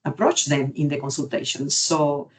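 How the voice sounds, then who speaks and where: A woman from Cuba with a Cuban Spanish accent, speaking as an expert panellist in an unscripted webinar, searching for words in halting non-native phrasing. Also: deep voice. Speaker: genetic counselling expert and panellist. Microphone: video call.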